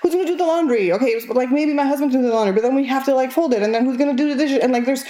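A young woman from Argentina, with Spanish as her first language, speaks openly in a casual interview. She pads her sentences with "like" and her voice jumps higher when she gets exasperated. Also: very fast. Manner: candid, many "like" fillers, lively rises when exasperated. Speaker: interview guest.

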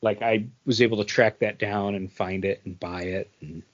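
A man's voice: fairly low pitch, steady tone, normal speed, dull audio. A man co-hosting a podcast, chatting casually and bluntly about what he has read, with some casual swearing. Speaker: podcast co-host reviewing comics. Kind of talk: casual chat.

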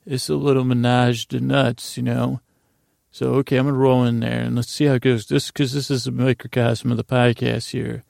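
A man hosting a bedtime podcast, rambling through an improvised monologue in a halting, self-interrupting way. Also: medium pitch, flat delivery, creaky, a little mellow, no echo, studio quality.

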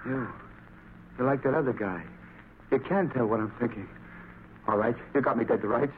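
A man's voice: mid-range voice, normal speed, slight background noise, close to an everyday voice. Man playing a blustering, jittery radio crook. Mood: alarmed, cornered. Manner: blustering, slangy tough talk. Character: gruff, suspicious, jittery small-time male traitor. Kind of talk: 1940s radio drama acting. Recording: tinny narrow-band old radio recording.

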